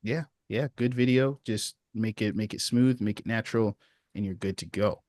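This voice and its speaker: A man hosting a group call as a sales coach, giving relaxed, encouraging feedback with a casual manner. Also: lowish voice, moderate pace, dry recording, clean studio sound.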